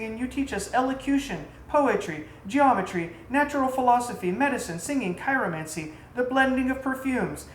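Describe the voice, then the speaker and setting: A man voicing adoring harem women in a storybook tale, gushing and imploring as they flatter their mistress. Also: very high-pitched, pitched above the performer's own voice. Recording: roomy echo, faint background noise.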